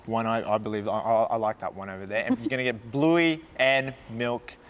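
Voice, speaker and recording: A man's voice: medium pitch, steady tone, a little fast. Rambling male co-host. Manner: enthusiastic, rambling, stumbling over words. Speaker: shopping channel co-host. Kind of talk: live shopping broadcast presenting.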